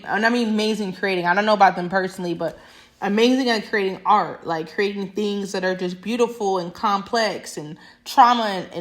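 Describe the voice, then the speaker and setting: Passionate woman musing to camera. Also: mid-range voice. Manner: passionate, emphatic, rambling with restarts. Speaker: vlogger. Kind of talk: reflective monologue to camera.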